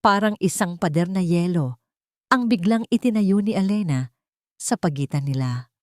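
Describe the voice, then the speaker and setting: A woman's voice: on the low side, lively intonation, moderate pace, a little mellow, no room sound, studio quality. A woman reading scripted dramatic narration as the storyteller of a romantic tale.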